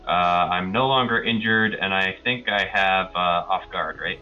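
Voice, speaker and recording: A man's voice: sits low, moderate pace, bright, forceful tone, lo-fi sound, soft background music. A man talking casually and a little tentatively as a player in a tabletop roleplaying game.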